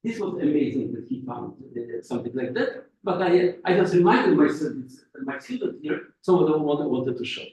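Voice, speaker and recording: A man giving a physics lecture as a guest speaker, halting and restarting his phrases as he goes. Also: on the high side, animated, darkish tone, muffled recording, a little hiss or hum. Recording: echoey room from far off.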